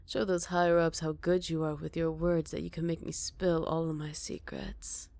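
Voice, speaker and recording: A woman playing a smug, flirty captured villain in an ASMR roleplay, teasing and coy with breathy murmurs. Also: deep voice, level tone, normal speed, a little mellow, no echo. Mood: playful, coaxing.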